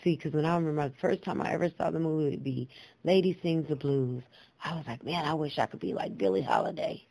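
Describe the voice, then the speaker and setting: A woman telling personal stories in a reflective, earnest, deliberate way, repeating phrases for emphasis, with clear, proper diction. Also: low-pitched, animated, warm tone, dry recording. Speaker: guest storyteller, poet and singer.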